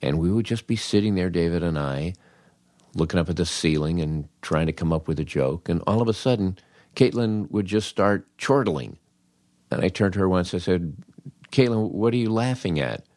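An older American man with a low voice tells funny first-person stories in a dry, close recording. His delivery is wry and animated, with dramatic pauses and little bits of acted-out dialogue. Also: dry recording. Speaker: podcast host, comedy writer. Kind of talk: humorous first-person storytelling monologue.

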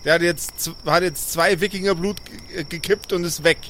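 A fairly high-voiced male adventurer, acted by a man, exasperated yet caring. He pleads and lectures insistently in an improvised roleplay audio play, with faint cricket-filled night ambience behind him. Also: fairly even intonation, normal speed, fairly bright tone, a little hiss or hum.

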